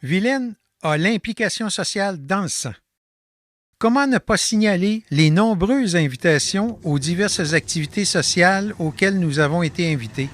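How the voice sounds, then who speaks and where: A man with a Quebec French accent narrating a documentary about his artist residency in a calm, measured voice-over. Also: sits high, faint music behind.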